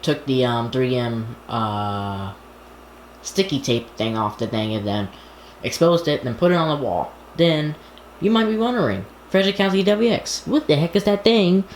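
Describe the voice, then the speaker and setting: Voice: mid-range voice, normal speed. A person talking casually and off the cuff for a vlog, rambling and often restarting sentences. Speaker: video creator showing a radio collection. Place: indoor room. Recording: echoey room with background noise.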